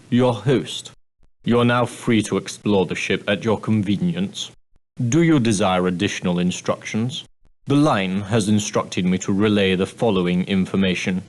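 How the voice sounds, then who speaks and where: A prim and proper male steward of an ocean liner, acted by a man, speaks in a fairly low voice. He is formal and clipped, with a dry, condescending edge beneath the courtesy. It is scripted character dialogue for an adventure game. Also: close to an everyday voice, rough recording. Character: prim, straight-laced male ship's steward, dryly sardonic.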